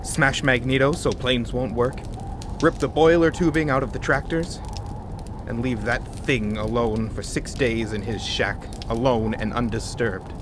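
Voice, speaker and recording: An actor playing a sharp-minded, wry polar scientist with an explanatory manner in a radio drama. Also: male voice, mid-range voice, unhurried, close to an everyday voice. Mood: bitter, wry. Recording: noisy outdoor-like ambience behind dialogue.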